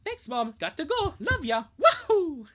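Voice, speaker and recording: A man's voice: extremely high pitch, big pitch swings, unhurried, slight background noise, voice pushed up, full character voice. A man voicing an upbeat, easygoing teenage cartoon boy in an amateur comic dub, chirpy and slangy. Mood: cheerful.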